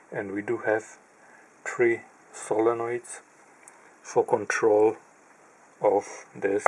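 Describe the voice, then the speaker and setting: Slow, halting low-voiced man. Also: darkish tone, breathy edge, rough recording. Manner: halting, pauses mid-phrase while pointing at parts. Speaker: electronics repair hobbyist. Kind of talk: slow, methodical narrated teardown.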